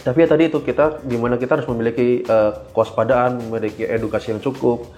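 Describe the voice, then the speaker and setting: Halting young man over music. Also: mid-range voice, steady tone, darkish tone. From Indonesia. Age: 20s. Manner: hesitant, halting delivery with frequent filled pauses. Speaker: guest cyber security engineer. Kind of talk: casual podcast interview answers.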